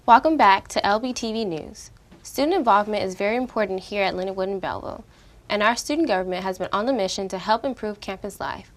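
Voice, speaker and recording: A woman's voice: medium pitch, fast. A woman presenting scripted student television news as the anchor.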